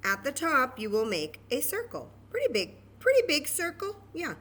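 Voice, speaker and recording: A woman's voice: high voice, wildly swooping pitch, moderate pace, fairly bright tone. A woman presenting a children's story time craft, explaining each step informally and patiently and repeating key words.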